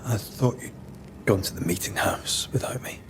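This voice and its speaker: Low-voiced, remorseful ghost hunter. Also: male voice, moderate pace, gravelly, whispered. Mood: searching. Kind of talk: dramatic video game acting.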